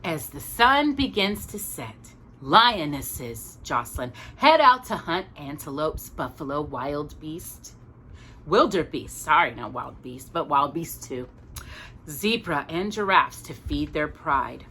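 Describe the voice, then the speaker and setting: A woman reads a children's picture book aloud with a bright, forward tone and animated swings in pitch. She takes her time and drops in casual, chatty asides. A little noise sits behind her voice. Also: takes it slowly. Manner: expressive, chatty, stumbles and corrects herself. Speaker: storytime host reading a picture book.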